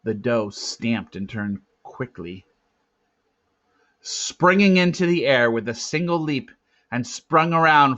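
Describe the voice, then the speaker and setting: A man reading a storybook aloud as narrator, with dramatic, suspenseful swells and hushed drops. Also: mid-range voice. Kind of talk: expressive storybook read-aloud. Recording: dull lo-fi, roomy echo.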